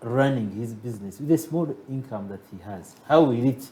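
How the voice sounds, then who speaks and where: A man's voice: medium pitch, moderate pace, mellow, low-quality recording. A man with a Ugandan accent, a guest advocate on a talk show, building his argument with rhetorical questions.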